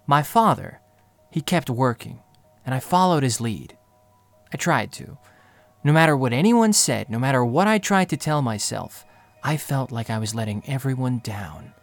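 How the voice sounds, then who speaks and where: A male actor plays an earnest young man from a poor undercity, narrating his own story in an audio drama. His mid-pitched voice is halting, confessional and full of self-doubt and feeling. Music plays beneath him. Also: expressive, no echo, close to an everyday voice. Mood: reflective, guilty. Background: music bed.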